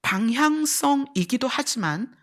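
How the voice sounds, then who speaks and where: A woman's voice: high-pitched, animated, unhurried. A woman pastor preaching and teaching, explaining step by step, repeating key phrases and putting questions to her listeners. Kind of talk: church sermon with teaching and illustrations.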